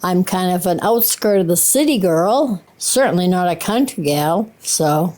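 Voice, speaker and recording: Woman, late 70s, classic Maine accent. Mellow, relaxed tone, casual interviewee. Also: fairly low pitch, measured pace. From Maine, USA. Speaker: interviewed grandmother.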